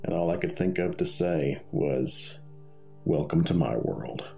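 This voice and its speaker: A man's voice: very deep voice, measured pace, gravelly, faint music behind. A man telling a personal story in a conversational, wry and wordy way. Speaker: storyteller reading own experience.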